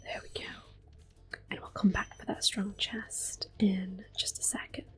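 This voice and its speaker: A woman speaking in a hushed, unhurried, gentle whisper as she gives ASMR game commentary. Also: mid-range voice, flat delivery, slightly rough. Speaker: host of an ASMR gameplay series. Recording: clicking controller noise. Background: controller clicks.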